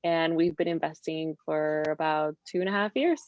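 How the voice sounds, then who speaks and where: A woman's voice: sits low, normal speed. A woman from Mexico and California chatting casually as an interview guest, telling stories in an informal, talkative way. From Mexico, later California. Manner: chatty, informal storytelling with quoted asides. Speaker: interview guest, real estate investor. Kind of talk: casual video interview chat. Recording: roomy, dull lo-fi call audio.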